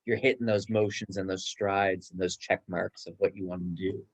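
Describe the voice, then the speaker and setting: A man's voice: sits low, little pitch movement, moderate pace. A man chatting casually and enthusiastically in a book discussion. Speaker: book club guest, bookstore worker.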